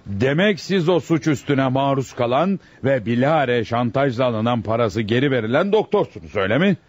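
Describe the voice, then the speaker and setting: A stern, fatherly judge with a husky, medium-pitched voice. He speaks formally and deliberately in radio theatre style, on a dry, slightly muffled recording. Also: expressive, dry recording. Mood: probing. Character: stern, fatherly male presiding judge questioning the accused. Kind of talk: Turkish radio theatre acting.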